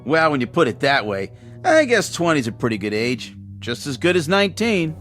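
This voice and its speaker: A chummy teen elevator boy in a tv drama, bouncy highish voice, slangy and polite, bit of music under it. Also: sing-song, normal speed, a bit bright. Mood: hesitant, tempted. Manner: colloquial, eager, deferential working lad. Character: friendly, easygoing nineteen-year-old male elevator operator. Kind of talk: television drama acting.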